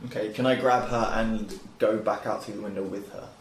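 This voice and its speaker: A man's voice: fairly low pitch, fairly even intonation, a little fast. A man playing a tabletop game, chatting casually in a flippant, joking manner. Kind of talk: casual chat during a game. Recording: roomy echo, faint background noise.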